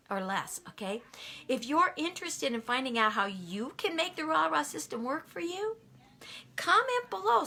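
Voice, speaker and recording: A woman's voice: on the high side, bright, forceful tone. A woman giving a motivational talk, emphatic and repeating key lines for effect. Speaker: motivational speaker.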